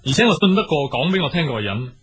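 Man playing raspy, stern schoolteacher. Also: on the high side, sing-song, a bit bright, full character voice. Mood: stern, formal. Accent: Hong Kong Cantonese. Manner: formal, measured, official. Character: stern, formal schoolteacher in charge of discipline. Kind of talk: Cantonese radio drama acting.

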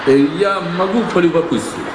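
An actor in a radio drama playing a polite, yearning gentleman with a courteous, hesitant, earnest way of speaking. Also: fairly high pitch, expressive, fairly quick, reverberant, background noise, close to an everyday voice. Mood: earnest, eager. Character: polite, yearning gentleman eager to sail away. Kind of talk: theatrical radio drama acting.

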